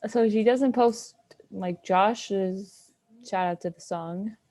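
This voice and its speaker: A woman's voice: mid-range voice, slow, mellow. A teenage woman co-hosting a podcast, rambling in casual chat full of fillers. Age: late teens. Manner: chatty, rambling, many fillers. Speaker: podcast co-host.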